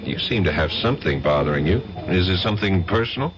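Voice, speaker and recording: An actor playing a firm, direct American narcotics agent in a 1970s radio drama, speaking plainly. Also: male voice, low voice, animated, fast, crowd noise. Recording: dull lo-fi old radio broadcast.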